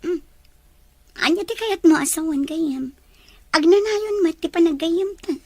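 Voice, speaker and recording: A woman's voice: very high-pitched, measured pace, dry recording. A woman acting a friendly, teasing young office worker in a drama. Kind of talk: Ilocano-language drama dialogue.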